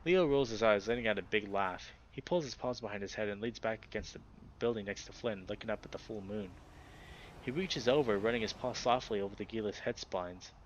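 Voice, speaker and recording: A man's voice: fairly low pitch, brisk. A man narrating story prose as he reads a visual novel aloud. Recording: dull, noisy home recording.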